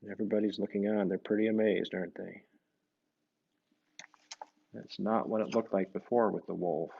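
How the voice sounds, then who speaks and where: A man reading a picture book to children, gently explaining it and often tagging questions onto his remarks. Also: fairly low pitch, fairly even intonation, normal speed, vocal fry, husky, phone-quality sound. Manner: gentle, explanatory, asks the listeners tag questions. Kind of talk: storybook read-aloud with conversational asides.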